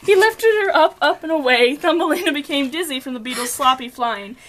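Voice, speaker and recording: A woman's voice: high voice, a little fast, a bit bright, a little hiss or hum. A young woman reading scripted storybook narration, occasionally stumbling over her words. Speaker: puppet show narrator.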